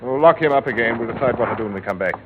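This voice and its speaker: A man playing a cool, businesslike gang boss in a 1950s radio drama, giving orders with brisk authority. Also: mid-range voice, close to an everyday voice. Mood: brisk, commanding. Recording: muffled, very noisy old radio recording.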